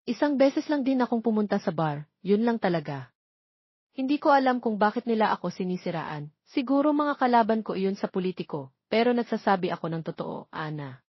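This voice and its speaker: A woman's voice: medium pitch, expressive, brisk, breathy edge, dry recording. Woman voicing an earnest, pleading Filipino suitor, muffled. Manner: pleading, sincere. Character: earnest, devoted young male politician in love. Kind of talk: audiobook romance dialogue.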